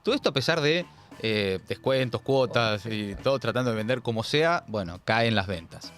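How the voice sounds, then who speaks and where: A man's voice: mid-range voice, lively intonation, normal speed, a bit bright, faint music behind. A man with a Rioplatense Argentine accent hosting a political commentary show, talking in an emphatic, sardonic, slangy way. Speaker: host.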